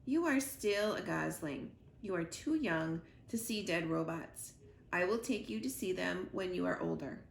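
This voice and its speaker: A woman voicing a calm, protective robot mother in a children's storybook, speaking formally and evenly. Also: on the low side, vocal fry. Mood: calm, firm. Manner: measured, formal, even delivery without contractions. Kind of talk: children's storybook read-aloud. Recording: roomy echo, noticeable background noise.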